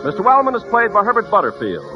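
Vintage radio announcer man over loud music. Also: high-pitched, wildly swooping pitch, fast talker. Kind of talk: 1940s-50s radio announcing, scripted. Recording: muffled vintage radio recording.

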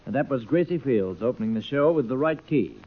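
A man's voice: mid-range voice, expressive, brisk, faint music behind. A man hosting a vintage 1940s-50s radio variety show, delivering scripted, folksy comic patter. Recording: dull old radio broadcast recording.

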